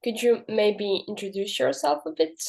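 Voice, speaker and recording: A woman hosting a podcast interview, asking questions in a soft, tentative way. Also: medium pitch, moderate pace, gravelly, over music.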